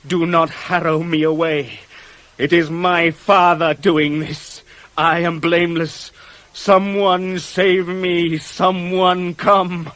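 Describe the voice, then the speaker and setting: An actor playing an anguished servant messenger in a Greek tragedy, with a measured, declamatory, intense delivery for radio drama. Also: male voice, on the high side, flat delivery, a bit bright, no room sound. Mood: desperate. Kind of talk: theatrical verse recitation for radio drama.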